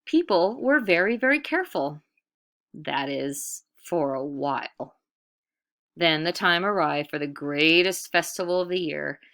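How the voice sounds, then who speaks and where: A woman storyteller reading a picture book aloud in an expressive, dramatic way with deliberate emphasis. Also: low-pitched.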